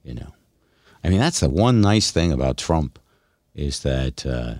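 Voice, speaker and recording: A man's voice: very deep voice, big pitch swings, unhurried, darkish tone, no echo, clean studio sound. A middle-aged man hosting a radio show, talking casually and giving opinions in an explanatory, musing way.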